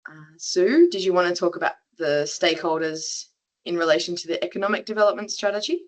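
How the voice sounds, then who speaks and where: A woman's voice: on the low side, a little fast. A woman moderating an online webinar over a video call, upbeat as she reads out audience answers and questions.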